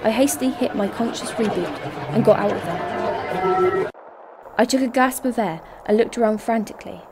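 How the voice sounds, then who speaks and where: A woman's voice: fairly high pitch, normal speed, loud music behind the voice, a loud crowd, natural voice. A woman playing a tough, tense heist operative who narrates her own story in a radio drama. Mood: tense narration. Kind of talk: radio drama first-person narration with acted dialogue. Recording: noisy recording with vehicle ambience.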